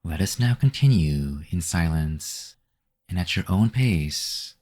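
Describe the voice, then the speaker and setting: A man with a very low voice leads a guided meditation. He speaks very slowly and calmly, reading in a measured, instructive way. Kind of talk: scripted guided meditation narration.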